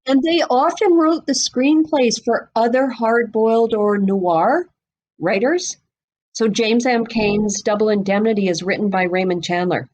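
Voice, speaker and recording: A woman's voice: fairly high pitch, a little slow, darkish tone, slight background noise, rough recording. A woman speaking as a podcast guest, explaining things conversationally in an expansive, knowledgeable way. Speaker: guest expert on a book podcast. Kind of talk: conversational explanation of literary history.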